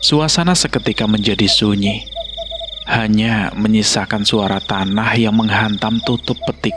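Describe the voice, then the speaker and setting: A man's voice: fairly low pitch, background noise. A man narrating a scripted horror story in a dramatic way.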